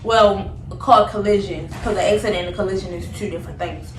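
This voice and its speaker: A woman's voice: mid-range voice, fast. A young woman telling a story in casual chat, acting out the dialogue as she goes. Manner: animated retelling with quoted dialogue. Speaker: vlogger. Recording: roomy, noisy, distant microphone.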